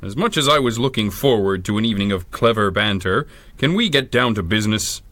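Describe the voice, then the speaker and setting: An actor playing a gruff, impatient police chief in a 1930s radio adventure, curt and exasperated. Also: male voice, lowish voice, lively intonation, a little fast, a bit bright, dry recording.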